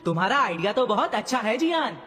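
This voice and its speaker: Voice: medium pitch, big pitch swings, quick pace, sharp tone, in a large hall. A voice actor playing a sly, tattling schoolboy, sidekick to a bully, in a Hindi cartoon dub, needling yet obedient. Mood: scheming.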